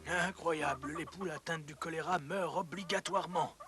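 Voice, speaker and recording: High-pitched male voice, an actor as an earnest cartoon scientist, musing, deliberate, music behind. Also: fairly even intonation, natural voice. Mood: amazed, intent. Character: earnest, thoughtful male scientist absorbed in his research. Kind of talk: dubbed educational cartoon acting.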